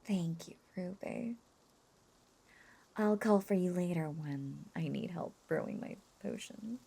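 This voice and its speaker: A woman voicing an obsessive, possessive witch in an intimate roleplay audio drama, cooing and coaxing in soft, breathy half-whispers. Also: fairly low pitch, slow pace, a little hiss or hum. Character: lovesick yandere witch, obsessive, possessive, sweetly unhinged.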